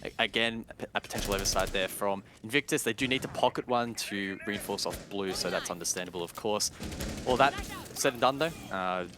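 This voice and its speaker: A man commentating live on an esports match in a measured, analytical way. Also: fairly low pitch, over music. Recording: game gunfire and clicks behind voice. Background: game gunfire, explosions and clicks.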